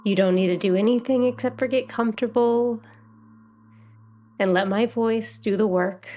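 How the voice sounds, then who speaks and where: Slow-paced woman guiding a meditation. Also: medium pitch. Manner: soothing, gentle, unhurried phrasing. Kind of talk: guided meditation.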